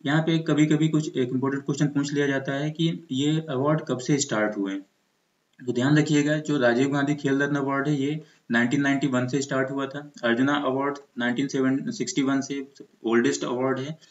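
A man's voice: mid-range voice, fairly even intonation, a little fast. Echoey, muffled Indian man giving a lesson. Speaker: teacher for exam preparation. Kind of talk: explanatory lecture mixing in English terms. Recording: muffled, echoey room recording.

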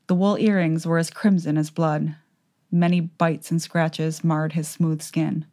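A woman narrates a fantasy tale from a script. Her voice is low, and her delivery is measured and dramatic. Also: little pitch movement, warm tone.

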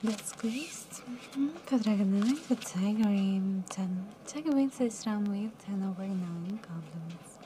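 A young woman working as a live casino card dealer, calling each round in a soft, mumbly, calm and polite way from a studio table. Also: medium pitch, unhurried, slight background noise. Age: young adult. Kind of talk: announcing card game rounds.

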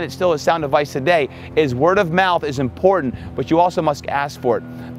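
A man in his forties, a fitness coach, giving energetic marketing tips to the camera outdoors on a lapel mic. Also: fairly high pitch, slight background noise. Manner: energetic, upbeat, talks with his hands. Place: outdoors by a building in sunshine.

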